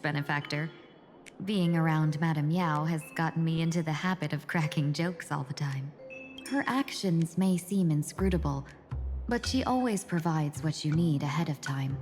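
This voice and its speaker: A woman voicing a composed, courteous game character with a measured, formal, gently teasing manner. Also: low-pitched. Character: composed, courteous woman, subordinate of a general, dryly playful. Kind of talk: video game voice acting.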